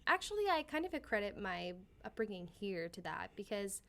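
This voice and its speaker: A young woman from California talks with an interviewer at an even middle pitch. Her delivery is upbeat, articulate and self-assured, steady and well organized. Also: brisk. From San Jose, California. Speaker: guest. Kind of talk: casual interview chat.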